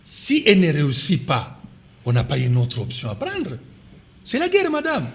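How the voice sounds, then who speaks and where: A man's voice: fairly high pitch, wildly swooping pitch, moderate pace. A man with a Congolese accent speaking as a political commentator in an interview, insistent and repeating his key points for force. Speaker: political commentator and party official, interview guest.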